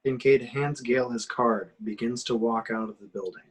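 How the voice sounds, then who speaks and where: A man's voice: medium pitch, steady tone, moderate pace, husky, low-quality recording. A man reading action description aloud at an amateur table reading, in a dramatic storytelling way with occasional stumbles. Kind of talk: scripted narration, amateur table read.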